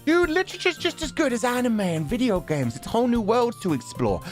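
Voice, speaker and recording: A perky teenage schoolgirl from an anime game, played by a man, high and very sing-song. The delivery is energetic and pleading, with faint music underneath. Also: fairly quick. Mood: bubbly, pleading. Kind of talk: visual novel character read-aloud.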